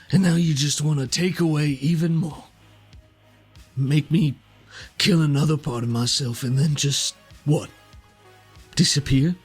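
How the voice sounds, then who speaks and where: Bitter male exile played by a man, guilt-ridden and brooding, husky slightly high voice, pointed lines, tabletop drama over music. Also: a little mellow. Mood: cold fury. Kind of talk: improvised tabletop roleplay dialogue.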